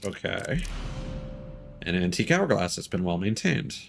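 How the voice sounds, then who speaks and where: A man streams a game in a low, creaky voice with lively swings in pitch. He talks casually and informally, rambling through his reactions as he plays. Some background ambience sits behind him. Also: moderate pace, soft background music. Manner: informal, rambling, swearing, muttered reactions to what is on screen. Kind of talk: casual live game commentary.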